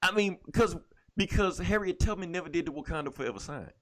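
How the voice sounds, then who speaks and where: A man co-hosting a podcast, chatting casually about comics and games in a profane, opinionated, animated way. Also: sits high, fast talker. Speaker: podcast co-host. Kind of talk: casual comic book and video game chat.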